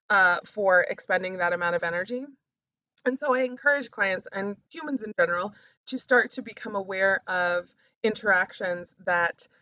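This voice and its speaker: A woman speaking as a coach and podcast host, sharing personal reflections in a candid, confessional way, halting and stressing key words. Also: mid-range voice, pushed and bright, no room sound, highs cut off. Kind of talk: personal reflective talk to camera.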